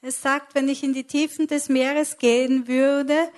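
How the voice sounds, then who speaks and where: A woman's voice: sits high, little pitch movement, unhurried. A woman interpreting a sermon live, delivering it phrase by phrase and sometimes correcting herself.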